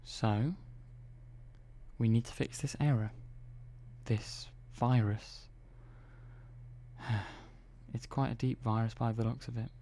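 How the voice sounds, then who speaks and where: A man playing a calm, methodical robot engineer in an ASMR roleplay, speaking softly and slowly with hesitant pauses. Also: mid-range voice, slight background noise. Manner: soft-spoken, slow and hesitant, many pauses and "well"s.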